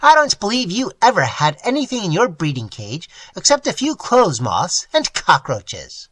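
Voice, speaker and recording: A man voicing a huge, peevish male professor who talks in a thin, highly sarcastic voice. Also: huge pitch swings, normal speed, bright, forceful tone, dry recording, heavily acted. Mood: scornful.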